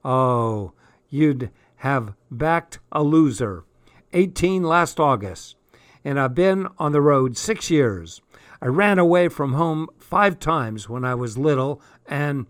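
A man reading aloud and voicing a frail eighteen-year-old tramp boy with a husky voice. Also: medium pitch, dry recording, clean studio sound.

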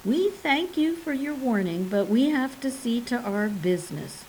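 A woman voicing a male Cajun swamp guide who speaks softly and placatingly, in loose dialect. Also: a little high, lively intonation, a little slow, slight background noise, pitched above the performer's own voice, poor audio. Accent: Cajun English. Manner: soft, placating. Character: local male swamp guide, easygoing and humoring.

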